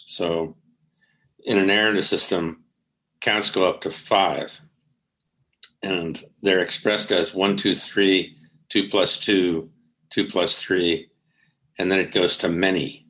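A man, an anthropologist on a panel, speaking plainly and in a measured way. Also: sits low, fairly even intonation, sharp tone, low-quality recording. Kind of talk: academic panel answer.

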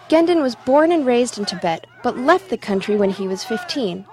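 A woman reporter giving calm, measured voice-over narration for a documentary news piece. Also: mid-range voice, slight background noise.

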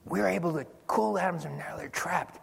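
An older man giving a physics lecture to an audience, telling stories with dry humor and lively demonstrations. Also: on the high side, moderate pace, creaky, slightly rough. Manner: animated storytelling with dry humor. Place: large lecture hall. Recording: long hall reverb.

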